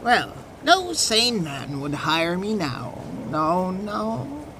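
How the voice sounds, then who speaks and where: A bitter old male official in disgrace for an audio drama. His voice is fairly high, slow and rueful, set in clearly noisy ambience. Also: very animated, exaggerated voice. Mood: bitter, resigned. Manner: rueful, pointed.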